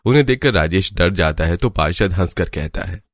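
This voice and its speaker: A man's voice: low voice, animated, normal speed, no room sound, clean studio sound. A man narrating a scripted family story as its storyteller.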